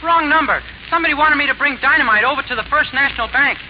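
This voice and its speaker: A man's voice: very high-pitched, fast. A man playing a naive, earnest, dim young police officer in a 1940s radio comedy. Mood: innocent, earnest. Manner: earnest, innocent, literal-minded. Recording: muffled, very noisy old radio recording.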